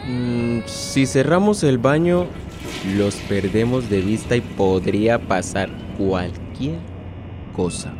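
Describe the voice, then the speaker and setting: A rigid, order-following policeman in a radio play. He talks slowly and curtly, with no give in him. Clear ambient noise sits behind the voice. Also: medium pitch, lively intonation. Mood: stern. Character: rigid, callous male police guard who follows orders. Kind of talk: radio drama acting.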